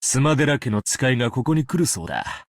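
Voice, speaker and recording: A man's voice: mid-range voice, very animated, very fast. An actor playing a glib, shrewd businessman in a visual novel, casual and unflappable as he talks. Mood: wry, casual. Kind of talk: Japanese visual novel voice acting.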